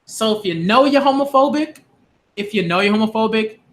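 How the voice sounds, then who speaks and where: Man in his late twenties, high bright voice, emphatic, repeats himself, direct-to-camera rant. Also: lively intonation, brisk. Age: 20s. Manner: emphatic, repetitive, exasperated. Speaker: channel host.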